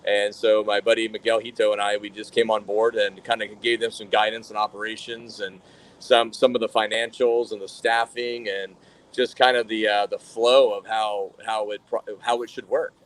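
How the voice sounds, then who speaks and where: A man's voice: fairly low pitch, little pitch movement, moderate pace, low-quality recording. A man from Florida, a brewery manager, telling his story in a casual podcast interview, rambling with lots of fillers and restarts.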